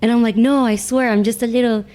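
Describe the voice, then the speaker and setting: A woman's voice: a little high, fairly even intonation, quick pace, over a noisy crowd. A woman of about thirty, from Florida and born in Cuba, chatting as a podcast guest, laughing often and swearing casually. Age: 30s. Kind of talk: casual chat.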